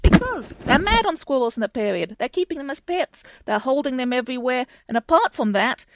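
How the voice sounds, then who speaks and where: A chatty woman from Chile talks through her answers as a guest on a call, stopping and restarting often along the way. The sound is close and dry, with no room around her. Her pitch sits in the middle for a woman. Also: no echo. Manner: chatty, many fillers and restarts. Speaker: guest historian. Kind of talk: conversational interview answers. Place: home in London.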